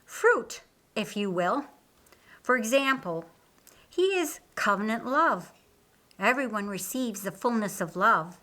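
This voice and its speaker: An assured, kindly man teaching his followers, as voiced by a woman audiobook reader. The delivery is bright and deliberate, leaning on key words with calm authority. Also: a little high, heavily acted. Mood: instructive. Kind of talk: audiobook character dialogue.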